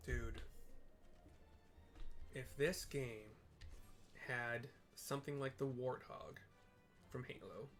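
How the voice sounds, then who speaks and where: A man talks through a video game he is playing, in a casual and slangy style. His voice sits at a middling pitch, and his manner is playful and informal, like a gamer commentating for viewers. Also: fairly even intonation, slow pace, pauses often, a bit bright, faint music behind. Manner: playful, slangy, breaks into singing and sound effects. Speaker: gamer narrating own playthrough. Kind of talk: casual gameplay commentary with read-aloud dialogue.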